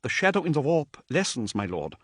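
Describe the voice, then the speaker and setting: A man's voice: fairly high pitch, very animated, fairly quick, fairly bright tone, dry recording, studio quality, pitched above the performer's own voice. A man voicing a nervous, overeager male messenger in an audiobook.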